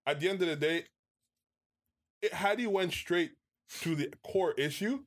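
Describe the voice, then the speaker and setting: Measured man making his point. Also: high-pitched. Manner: measured, steering the talk back to his point. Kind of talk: casual discussion.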